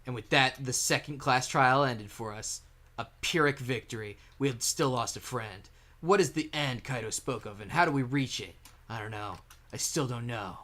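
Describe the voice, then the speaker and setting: A man's voice: medium pitch, moderate pace, bright, forceful tone, natural voice. A man playing an earnest, thoughtful young student detective in an anime game fandub. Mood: somber, reflective. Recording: faint music behind.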